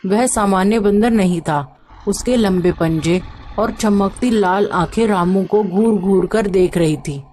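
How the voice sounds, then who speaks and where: A woman's voice: medium pitch, a little slow, warm tone, slight background noise. A woman reading a scripted story as its narrator.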